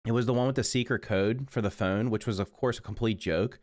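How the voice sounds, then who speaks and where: Low-voiced congested man chatting on stream. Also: brisk, darkish tone, dry recording, clean studio sound. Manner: rambling, self-correcting, mutters while busy. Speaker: livestreaming gamer. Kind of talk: casual live stream chat.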